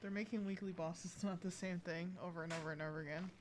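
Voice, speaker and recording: A woman's voice: lowish voice, fairly even intonation, fast talker, slight background noise. A woman doing casual live gameplay commentary as a streamer, rambling and thinking aloud. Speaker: game streamer. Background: loud video game music and battle sounds.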